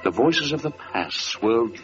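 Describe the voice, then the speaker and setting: An actor playing a solemn storyteller, narrating a biblical legend in elevated, dramatic prose for an old-time radio drama. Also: male voice, medium pitch, animated, loud music behind the voice, natural voice. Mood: solemn, compassionate. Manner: solemn, dramatic, measured literary phrasing. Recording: dull vintage radio recording.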